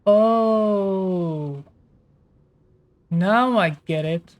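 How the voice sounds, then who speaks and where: A woman's voice: medium pitch, very slow, darkish tone. A woman gamer giving casual, hesitant commentary, thinking aloud as she plays. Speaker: gamer reacting to a game story.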